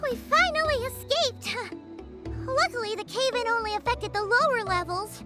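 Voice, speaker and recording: A woman voicing a tiny, excitable companion creature with a squeaky, childlike voice in a video game. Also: normal speed, soft background music. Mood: relieved.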